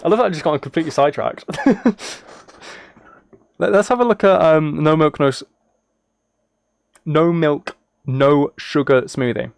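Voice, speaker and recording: A man's voice: fairly high pitch, moderate pace, vocal fry, long pauses, smooth, dry recording, studio quality. A man livestreaming casually, reacting and chatting in an easily sidetracked, self-deprecating way. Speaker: live streamer. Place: indoors at a streaming setup.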